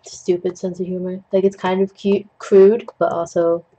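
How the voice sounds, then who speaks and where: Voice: mid-range voice, little pitch movement, normal speed, lo-fi sound, noisy background. A young adult host rambling jokily with puns while painting at a desk, coughing now and then, on a webcam mic at home. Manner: chatty, self-deprecating, jokey with puns. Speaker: digital art tutorial host.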